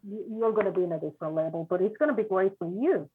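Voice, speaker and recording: Woman psychic, husky, halting and reassuring, heard through a thin phone line. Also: medium pitch, expressive. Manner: halting, repeats words, reassuring. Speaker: psychic reader. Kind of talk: spiritual reading.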